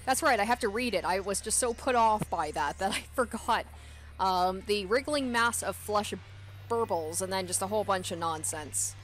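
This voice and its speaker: A woman's voice: mid-range voice, normal speed, very noisy. A woman hosting a let's play, reading a visual novel aloud and adding casual commentary. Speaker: let's play host and narrator.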